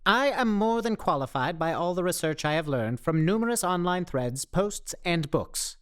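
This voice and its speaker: A pedantic amateur expert in a radio play, acted by a woman. The diction is stiffly formal and pompous. The pitch sits on the low side for a woman and swings widely. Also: expressive, moderate pace, natural voice. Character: pedantic, self-important know-it-all zombie theorist.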